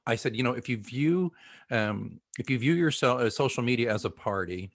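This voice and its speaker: A man's voice: medium pitch, expressive, normal speed. A man hosting a podcast, chatty and joking, talking to his listeners in folksy asides. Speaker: podcast host. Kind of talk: podcast interview.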